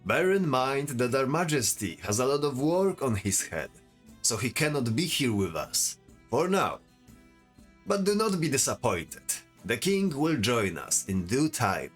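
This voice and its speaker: Man playing royal herald, pompous, proclaiming, animated pitch, fantasy audio drama, faint music. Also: unhurried. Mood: proud, welcoming. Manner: grand, proclaiming delivery. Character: pompous royal herald and grand sentinel addressing a feast. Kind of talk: audio drama ceremonial speech.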